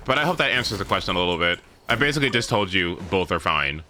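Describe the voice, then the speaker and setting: A man's voice: low voice, lively intonation, quick pace, bright, forceful tone, slight background noise. A man chatting casually on a gaming stream, rambling as he thinks aloud, with some swearing. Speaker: livestreamer. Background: game music.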